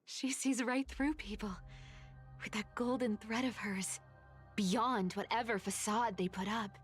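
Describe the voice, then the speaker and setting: An actress voicing a sly, playful female assassin in a video game, teasing and coolly menacing in a breathy, theatrical drawl. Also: fairly high pitch, steady tone, a little slow, soft background music, lightly acted. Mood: amused musing. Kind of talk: English game voice acting, theatrical monologue.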